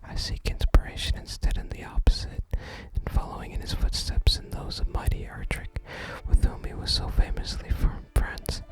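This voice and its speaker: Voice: moderate pace, few pauses, lightly acted, rough recording. An ASMR gamer voicing a wistful wandering male bard from a fantasy game, whispering his florid, archaic lines. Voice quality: unvoiced whisper. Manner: archaic, theatrical phrasing.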